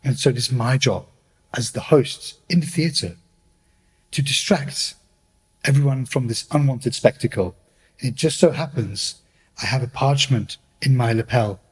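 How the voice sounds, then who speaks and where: Man acting a pompous, husky theatre host. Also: medium pitch. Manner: pompous, declamatory. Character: grandiose, self-important male theatre host and poet. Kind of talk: theatrical radio play narration.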